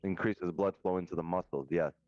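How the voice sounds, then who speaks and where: Cocky male co-host, extremely low voice, dry dull lo-fi sound, lots of swearing. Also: steady tone, fairly quick, dry recording, faint music behind. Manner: brash, cocky, heavy swearing. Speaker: co-host on a live trading stream. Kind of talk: casual banter.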